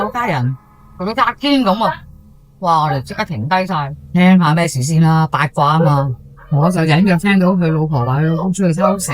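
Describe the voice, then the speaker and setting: A woman's voice: fairly low pitch, close to an everyday voice. A woman playing a chatty, superstitious housewife in her sixties with a Hong Kong accent, telling a ghost story in a colloquial, gossipy way. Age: 60s. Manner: colloquial, gossipy, with drawn-out sentence particles and exclamations. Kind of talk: first-person ghost story monologue for audio drama.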